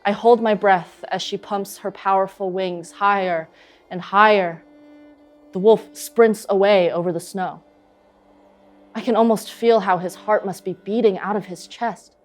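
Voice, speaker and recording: Actress as a passionate young eagle huntress. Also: female voice, mid-range voice, steady tone, a little slow, natural voice. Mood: excited, intense. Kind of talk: dramatic stage monologue, vivid storytelling.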